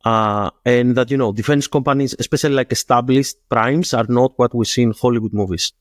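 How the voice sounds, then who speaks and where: A man from Greece with a Greek accent, explaining things in an interview as a startup founder, often pausing and rephrasing himself. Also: mid-range voice, steady tone, no room sound, clean studio sound. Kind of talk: interview answers.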